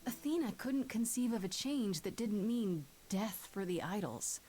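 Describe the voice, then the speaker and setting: A woman's voice: mid-range voice, animated, unhurried, warm tone, lightly acted. Woman as frank, wry young muse. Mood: thoughtful. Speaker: voice actor. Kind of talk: casual, natural game dialogue.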